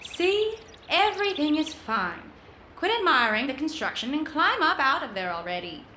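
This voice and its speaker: An actress playing a plucky, impatient young woman adventurer in a 1930s radio mystery, brisk and bossy. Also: high-pitched, very animated, echoey room, background noise. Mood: eager, brisk. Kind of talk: 1930s radio drama acting.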